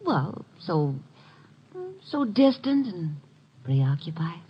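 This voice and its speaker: A woman's voice: on the low side, big pitch swings, slightly rough, full character voice. An actress playing a coy, gushing young woman writer in 1950s radio drama style. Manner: coquettish, gushing, hesitant pauses. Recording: dull vintage radio recording.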